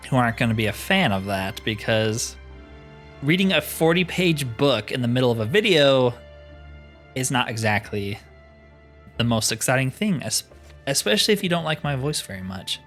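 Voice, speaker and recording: A man's voice: mid-range voice, sing-song, normal speed, a bit bright. Guy chatting through a game playthrough, casual asides, music in the back. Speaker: host of a gaming playthrough. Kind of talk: casual let's-play commentary.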